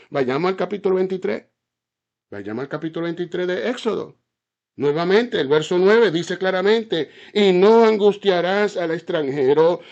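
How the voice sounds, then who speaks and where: A man's voice: high-pitched, darkish tone. A man preaching a fiery sermon, often stopping to ask whether his listeners understand, with stammered restarts and repeated words. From Caribbean island. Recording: slightly dull, lo-fi sound.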